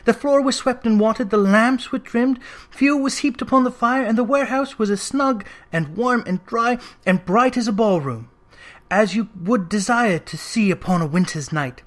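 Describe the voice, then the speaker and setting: A reader giving an expressive, dramatic narration of a classic story, leaning into lists with emphasis. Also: medium pitch, moderate pace. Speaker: audiobook reader.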